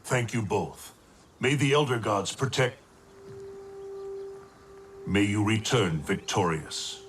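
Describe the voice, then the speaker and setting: A man playing a wise, solemn thunder god in a video game, speaking in a grave, formal, measured way. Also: fairly low pitch, animated, slight background noise. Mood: grave, resolute.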